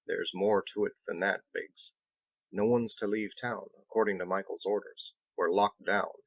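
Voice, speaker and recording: A man voicing a measured, thoughtful seafaring captain in an audiobook, with formal, old-fashioned phrasing. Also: deep voice, steady tone, gravelly, a bit bright, dull audio, exaggerated voice. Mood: grave, indignant. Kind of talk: audiobook character dialogue.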